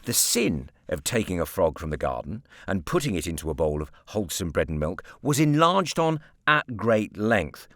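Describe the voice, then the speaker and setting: Man, British accent, story narration, low-pitched, highly animated, wry, articulate, dry recording. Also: moderate pace, hardly any pauses, dry recording. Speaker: narrator, audiobook reader. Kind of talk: expressive scripted story narration.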